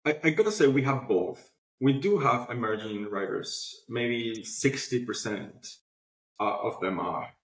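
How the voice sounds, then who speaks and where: A man's voice: mid-range voice, lively intonation, measured pace. A man speaking as an interview guest, explaining casually and often checking that the listener follows. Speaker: podcast guest, company founder. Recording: roomy, echoing sound.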